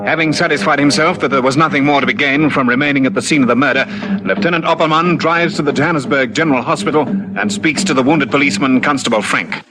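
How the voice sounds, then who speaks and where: A man's voice: mid-range voice, fast talker, few pauses, natural voice, poor audio. A man narrating a vintage radio detective serial in a solemn, scripted style. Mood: measured, solemn. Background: loud dramatic music.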